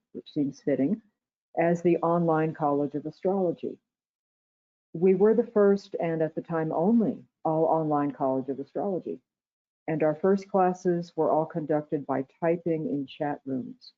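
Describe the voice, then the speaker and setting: A soft-voiced woman giving a prepared ceremonial welcome speech as an education director. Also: deep voice. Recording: tinny, phone-like online meeting audio.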